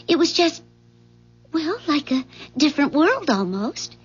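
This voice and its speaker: A woman's voice: high-pitched, sing-song, measured pace. An actress playing a sweet, evasive neighbor woman in a 1970s radio drama, speaking tentatively and wistfully, eager to please. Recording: dull, muffled 1970s radio broadcast recording.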